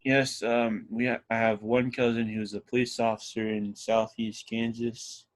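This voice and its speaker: A man's voice: mid-range voice, flat delivery, unhurried, no echo. A man giving short, hesitant answers in a casual conversation. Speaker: guest in conversation. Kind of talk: casual chat.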